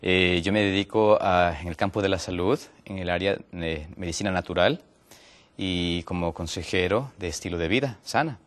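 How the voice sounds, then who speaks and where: Male guest from Ecuador with a lowish voice, hesitant and filler-heavy, pitch rising when he makes points. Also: moderate pace. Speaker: guest, natural medicine health counselor. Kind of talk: explaining on a TV talk show.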